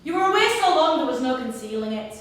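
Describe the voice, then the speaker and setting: A woman's voice: high voice, animated, quick pace. A woman on stage playing a court official who scolds the accused scornfully. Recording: echoey hall from far off.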